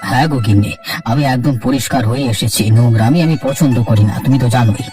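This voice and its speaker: A man's voice: medium pitch, fast, music in the background, close to an everyday voice. A man voicing an easygoing older father-in-law in a children's cartoon, homely and conciliatory, recorded at a distance. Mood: easygoing, placating. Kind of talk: Bengali children's cartoon dialogue.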